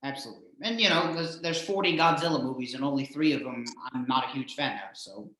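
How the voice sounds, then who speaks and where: A man hosting a casual interview, agreeable and halting, often repeating words as he goes. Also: medium pitch, pushed and bright. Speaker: host, interviewer. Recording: very echoey, reverberant room.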